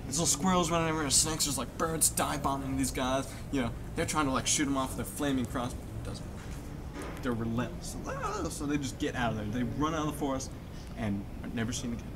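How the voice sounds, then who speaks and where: A man telling an improvised story in a casual, slangy, halting way, making it up as he goes. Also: medium pitch. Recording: loud crowd noise in public room.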